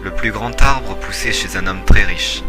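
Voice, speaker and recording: A man's voice: fairly low pitch, a little slow, over music, close to an everyday voice. A man voicing a stern, principled senior soldier and mentor, composed and serious, in an amateur video game dub. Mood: reflective, earnest.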